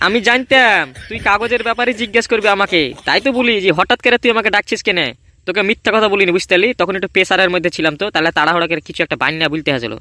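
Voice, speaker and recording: A man acting as a hot-headed cartoon boy. He talks extremely fast in a high voice, scolding and defiant. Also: pushed and bright, no room sound. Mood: defensive, guarded. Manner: defiant, scolding, rapid-fire. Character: hot-headed older boy guarding a secret paper. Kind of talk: amateur comedy cartoon dub.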